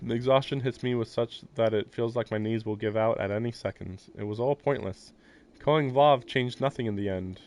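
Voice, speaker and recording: A man's voice: medium pitch, fairly quick, a little mellow, dull audio. A man streaming a visual novel, reading its text aloud and reacting in a rambling, casual way, with swearing and short laughs. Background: game music.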